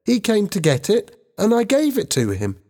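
A man's voice: high voice, wildly swooping pitch, normal speed, mellow, voice pushed up, exaggerated voice. A man voicing a naive, easily frightened young schoolboy in a story, earnestly explaining himself. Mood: eager.

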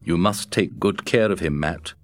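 A man's voice: low voice, normal speed, natural voice. A man voicing a weary frontier master who speaks soberly and curtly. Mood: gentle, sad. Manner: sober, curt.

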